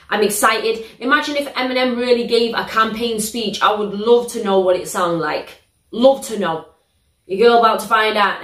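Young british girl chatting on her couch, kinda high voice, loud and slangy, echoey room. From United Kingdom. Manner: animated, slangy, exclamatory storytelling. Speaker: reaction video host. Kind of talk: casual reaction vlog. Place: living room, sitting on a sofa. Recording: roomy living-room echo.

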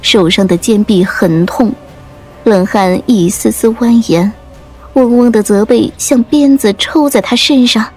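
A woman reading a dramatic audiobook story in a measured, literary storytelling style. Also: mid-range voice, a little mellow. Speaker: audiobook narrator. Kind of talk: dramatic scripted storytelling narration. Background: faint music.

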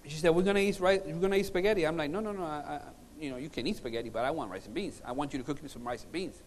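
A middle-aged man from Puerto Rico, preaching with humorous stories, emphatic pauses and repetition. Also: a little high, a little hiss or hum. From Puerto Rico, born in Cuba. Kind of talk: sermon with humorous personal stories. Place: large conference hall, on stage at a lectern. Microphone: lapel mic. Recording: reverberant hall sound system.

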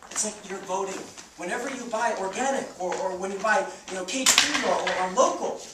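A man's voice: high voice, brisk, natural voice. A young man on stage playing an idealistic, pushy would-be farmer in slangy, rambling speech. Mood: passionate, preachy. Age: young adult. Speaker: stage actor. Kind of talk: live stage comedy-drama acting. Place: indoor theatre stage. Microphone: distant audience camera. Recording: echoey theatre stage from far off, noisy.

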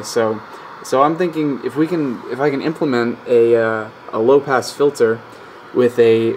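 A man's voice: mid-range voice, poor audio. A man giving a casual technical explanation, thinking aloud in a hesitant, rambling way at a workbench. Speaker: host of an electronics hobby channel. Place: indoor electronics workbench. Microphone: camera mic behind the lens. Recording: steady static noise, handheld camera mic. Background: radio static from a speaker.

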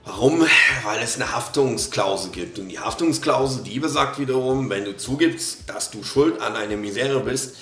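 A man's voice: mid-range voice, normal speed, hardly any pauses, echoey room, faint music behind. A man in his thirties with a slight cold, commenting on a video casually, with many fillers and restarts. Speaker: reaction video host. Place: small room at a desk.

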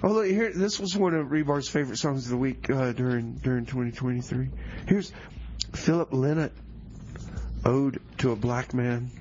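A man's voice: medium pitch, normal speed, a little mellow, dull audio, a little hiss or hum, natural voice. A man with an American accent playing a flustered community radio DJ in a comedy bit. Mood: upbeat announcing. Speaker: radio music show host. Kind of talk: comedy radio DJ back-announcing songs.

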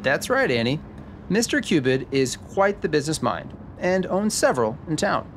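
A man playing a genial, sharp tax expert in a scripted noir radio drama, upbeat and explanatory. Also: a little high, sing-song, moderate pace, slight background noise. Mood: cheerful. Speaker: podcast host acting in a radio drama.

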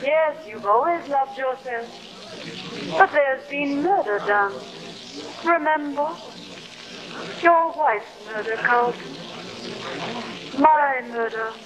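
Vengeful female ghost, very slow drawn-out delivery, insistent, haunting, old TV horror. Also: high voice, a crowd in the background, rough recording. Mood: accusing, vengeful. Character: accusing, vengeful ghost of a murdered wife. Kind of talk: 1950s television horror acting.

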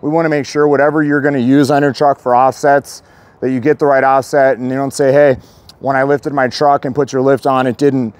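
A man's voice: medium pitch, level tone, fairly quick, a little mellow, dry recording. A middle-aged American man speaking casually and explaining things as he presents a vehicle walkaround outdoors. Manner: conversational, explanatory. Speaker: truck shop presenter. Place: outdoors in a paved loading yard.

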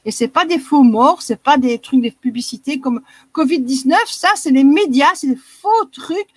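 A woman's voice: sits high, no room sound. A woman in her sixties with a Belgian French accent, talking earnestly and rambling a bit as a caller on a live video call. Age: 60s. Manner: earnest, rambling, repeats herself. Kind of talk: casual chat on a video call.

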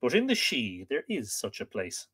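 A man reading a warrior companion, solemn, measured, varied pitch. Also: wildly swooping pitch, a bit bright, husky, full character voice.

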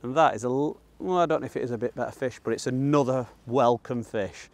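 A man's voice: mid-range voice, fast, a little mellow. A man, around his thirties, with a Northern English accent, gives casual, matter-of-fact instructional commentary outdoors while fishing. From northern England. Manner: plain-spoken, colloquial, matter-of-fact. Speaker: angler presenting a fishing guide. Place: outdoors on the bank of a pond.

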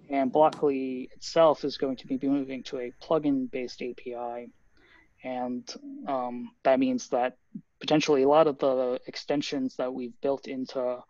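A man, a software developer, explaining technical updates at a team meeting on a video call, thoughtful and full of fillers. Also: medium pitch, dry recording. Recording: dull lo-fi video call audio.

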